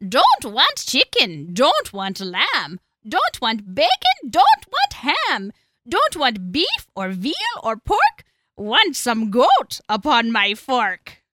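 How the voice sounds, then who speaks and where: Lady doing a greedy hungry troll from a kids book, sing-song n slow, big pitch swoops. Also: wildly swooping pitch, bright, forceful tone, dry recording, full character voice. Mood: greedy, menacing. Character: gruff, greedy, hungry male troll who sings.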